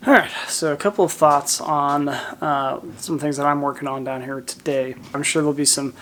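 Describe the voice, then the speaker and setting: A man in a basement talking casually to the camera, relaxed and halting. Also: on the high side, a little hiss or hum. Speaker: husband decluttering.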